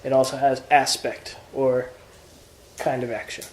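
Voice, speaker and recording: A man's voice: mid-range voice, little pitch movement, a little slow, roomy sound, a little hiss or hum, low-quality recording. A man teaching introductory Greek grammar in an informal lesson, explaining patiently step by step with examples. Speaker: Greek tutor.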